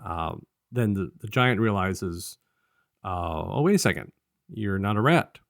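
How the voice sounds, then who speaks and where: A man with a fairly low voice talks as a podcast co-host in a dry recording. He retells a story casually and ramblingly, dropping into colloquial versions of the dialogue as he goes. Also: lively intonation, a little slow, gravelly, dry recording. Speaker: podcast co-host reviewing an audio drama. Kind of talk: casual conversational story recap.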